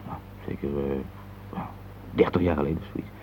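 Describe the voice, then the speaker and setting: A man's voice: extremely low pitch, steady tone, brisk, warm tone. An actor playing a reflective, tender middle-aged husband in a radio play, hesitant and searching for words as he speaks. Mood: tender, reminiscing. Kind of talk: intimate radio play dialogue. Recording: muffled phone-like old radio recording, hissy.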